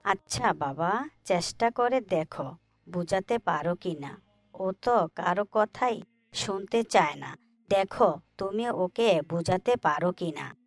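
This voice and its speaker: Slow-speaking, caring cartoon mother played by a woman. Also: on the low side, animated, no room sound. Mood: concerned. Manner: affectionate, instructive. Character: caring, pious mother, patient but concerned. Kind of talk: Islamic moral cartoon dialogue.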